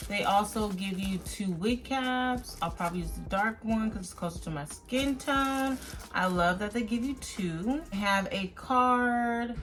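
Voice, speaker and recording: A woman's voice: medium pitch, a little slow, fairly bright tone. Chatty woman vlogger over background music. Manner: chatty, informal, addressing viewers directly. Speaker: beauty vlogger and host. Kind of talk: casual product review to viewers.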